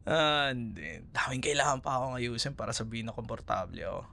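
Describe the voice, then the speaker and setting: Actor as a young Filipino ex-boyfriend, animated pitch. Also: male voice, brisk, vocal fry. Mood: flustered, hesitant. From Philippines. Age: young adult. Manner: hesitant, casual, giggly, conversational. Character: young Filipino man, teasing, caring ex-boyfriend. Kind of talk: ASMR roleplay, casual one-sided conversation.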